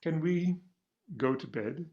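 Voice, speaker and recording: A man's voice: fairly high pitch, lively intonation, slow, creaky, highs cut off, full character voice. A man playing a stifled, lovestruck English attorney in a comic stage reading, fussy, earnest and self-deprecating. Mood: exasperated, yearning. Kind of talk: comic stage readers theater acting.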